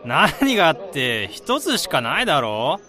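A man's voice: fairly high pitch, wildly swooping pitch, measured pace, bright, forceful tone, faint music behind, full character voice. A man acting in a radio drama as an easygoing married friend of a groom, around thirty, casual and bantering. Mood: friendly teasing. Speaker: lead actor and narrator. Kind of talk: radio drama acting with first-person narration. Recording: busy crowd noise behind the voice.

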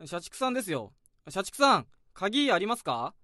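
A friendly young office junior in a radio drama, polite but easygoing. His voice is slightly high and lively in pitch. The sound is dry and close. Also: moderate pace, no room sound, full character voice. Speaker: junior coworker.

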